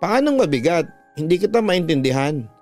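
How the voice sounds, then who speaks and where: Man doing a puzzled filipino schoolgirl, raised pitch, questioning, radio drama with music under. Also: sing-song, moderate pace, a little mellow. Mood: incredulous. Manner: questioning, incredulous. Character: puzzled young schoolgirl questioning her friend.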